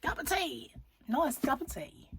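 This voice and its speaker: A woman hosting a crochet channel, chatting in a rambling, self-mocking way at home while her voice is croaky from a cold. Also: high voice, very animated, unhurried, a bit bright, dry recording. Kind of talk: casual chatty vlog. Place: at home, seated in a chair.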